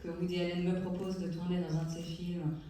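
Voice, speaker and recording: A woman, an actress, speaking calmly on stage. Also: lowish voice, fairly quick. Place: large cinema hall. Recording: echoey hall, far from mic, noisy.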